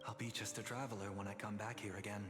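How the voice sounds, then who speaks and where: A wistful, refined male traveler from a game, voiced by an actor. His low voice is soft and very even, wrapped in echo. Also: fast talker, music in the background, natural voice. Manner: soft, restrained, reflective. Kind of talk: scripted video game voice acting. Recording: echoing, reverberant hall effect.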